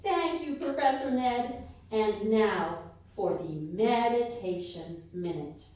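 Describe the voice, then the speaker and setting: A woman's voice: medium pitch, expressive, takes it slowly, a little mellow. A woman co-hosting a live comedy radio show on stage, delivering scripted mock reports. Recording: echoey hall stage, distant mic.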